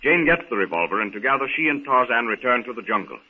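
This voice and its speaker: A man announcing and narrating a 1930s radio serial from a script, with theatrical, deliberate, dramatic storytelling. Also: medium pitch, very animated, breathy edge. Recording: tinny, noisy 1930s radio recording.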